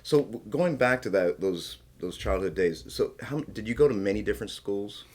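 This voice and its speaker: A man with an American accent hosts a casual podcast conversation. His voice is low-pitched. He speaks informally, moving between questions to his guest and personal stories of his own. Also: expressive, normal speed. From small-town rural United States. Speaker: podcast host, interviewer. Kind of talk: casual chat, conversational interviewing.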